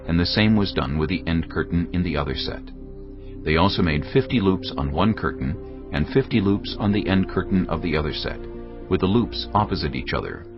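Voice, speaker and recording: Male narrator, very deep, reverent and measured, faint music bed. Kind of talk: scripted narration of scripture. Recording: dull studio recording with soft music. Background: soft background music.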